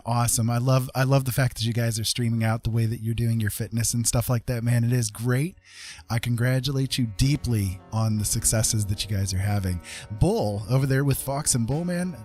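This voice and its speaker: A man hosts a relaxed livestream with music in the background. His voice sits at an average pitch for a man. He talks in a rambling, enthusiastic, conversational way, as if chatting with regular viewers. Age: adult, dad. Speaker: livestream host. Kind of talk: casual livestream chat.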